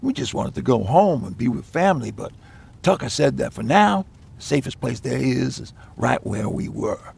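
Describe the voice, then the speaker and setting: An American man playing a weary survivor of nuclear war in a game, recounting it in plain, halting, profane words. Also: mid-range voice, very animated, vocal fry, darkish tone, breathy edge, heavily acted. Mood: weary, somber. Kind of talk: naturalistic game acting, recounting past events.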